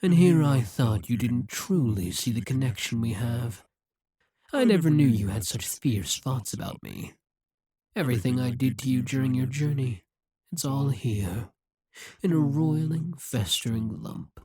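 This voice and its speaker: A scheming demon king, speaking in a breathy, husky hush. He takes his time, slow and deliberate, each word pressed close in a dry, dead room. Possessive and intense, he leans right into the listener's ear. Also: mid-range voice, lively intonation, dry recording. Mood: musing. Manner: slow, measured, intimate delivery. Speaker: voice actor. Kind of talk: audio roleplay acting.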